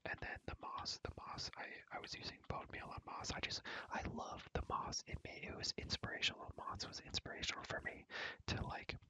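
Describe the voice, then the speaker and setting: Young male ASMR gamer. Whispered, crisp and very bright, slangy and repetitive, close-miked casual rambling. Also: a little fast. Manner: rambling, repeats words and phrases, slangy. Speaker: ASMR creator showing a Minecraft world. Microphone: close microphone.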